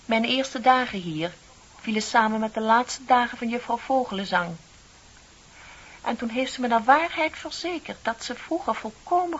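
An actress playing a bitter, composed office clerk in her thirties in a radio play, speaking in a deliberate, pointed, theatrical way. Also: a little high, slightly rough. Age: middle-aged. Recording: dull, hissy old radio play recording.

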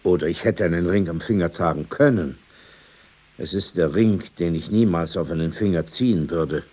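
Husky, sardonic old man. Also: deep voice, moderate pace, mellow. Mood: wry, contemptuous. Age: older. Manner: sardonic, digressive, dismissive. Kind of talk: radio drama dialogue.

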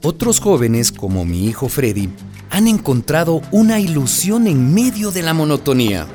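A man narrating a radio drama as an expressive, folksy and wry storyteller. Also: fairly high pitch, moderate pace, music in the background.

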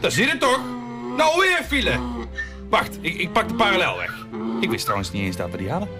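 A man's voice: high-pitched, wildly swooping pitch, a little fast, over music. A man playing a grumbling, exasperated village carpenter with a Brabant Dutch accent in a comic radio play. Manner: blustering, prone to exasperated cursing.

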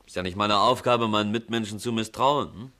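Nonchalant reporter, deep voice, wry and flippant; vintage radio crime play. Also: male voice, brisk, bright, forceful tone. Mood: defensive. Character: flippant, easily bored male newspaper reporter. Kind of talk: 1950s German radio crime drama acting.

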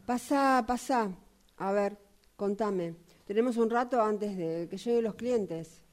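A woman's voice: mid-range voice, takes it slowly, background noise, lightly acted. A woman acting in a radio drama as an indulgent, evasive fortune-teller with a Rioplatense Argentine accent, agreeing soothingly and repeating her words. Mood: brisk, welcoming. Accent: Rioplatense Argentine Spanish.

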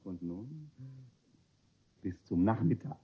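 Slow, oily, flattering count. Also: male voice, on the low side, noisy background. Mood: effusive. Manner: affected, drawling, effusive flattery. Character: smooth, flattering aristocratic count, theatrically effusive. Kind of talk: theatrical classic comedy radio play acting. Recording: muffled, narrow-band old radio recording.

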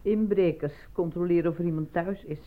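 A woman's voice: on the low side, fairly even intonation, brisk, close to an everyday voice. An actress playing a weary, bitter female medical assistant in a dystopian radio play. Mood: wary. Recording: muffled, phone-like old radio recording.

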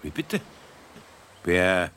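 A man playing a gruff but soft-hearted older master craftsman with a Bavarian accent, grumbling and muttering in a children's radio play. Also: low-pitched, big pitch swings, drawn-out words, full character voice. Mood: surprised. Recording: noisy 1970s radio play recording.

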